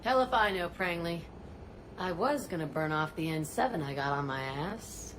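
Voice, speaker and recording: A woman's voice: fairly low pitch, expressive, moderate pace, natural voice. A woman plays a brash, foul-mouthed tattooed fighter in a sci-fi game. Her mid-range voice is crude and mocking. Noticeable background noise sits under her lines.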